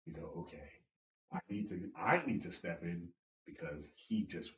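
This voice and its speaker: Low-voiced man in a roomy room. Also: lively intonation, normal speed, fairly bright tone, slight background noise. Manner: blunt, swears, acts out quotes. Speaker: podcast host, jiu-jitsu instructor. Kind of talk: casual chat. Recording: roomy, slightly dull sound.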